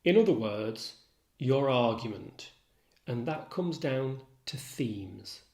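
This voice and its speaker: A man lecturing as a literature tutor, measured, articulate and instructive in his delivery. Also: medium pitch, animated, a little mellow. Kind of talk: literature lecture with recited quotations. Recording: roomy echo.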